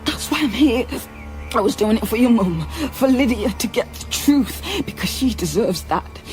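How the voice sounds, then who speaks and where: A woman playing a compassionate, steady undercover policewoman in a naturalistic radio drama, speaking with earnest care. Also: on the high side, moderate pace, soft background music, close to an everyday voice. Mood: earnest, sorrowful. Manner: gentle, earnest, reassuring.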